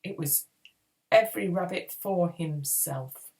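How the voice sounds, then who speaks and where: Lower-voiced woman reading a story. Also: takes it slowly. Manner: expressive, acts out dialogue. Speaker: storyteller reading a children's book aloud. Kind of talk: dramatic read-aloud narration.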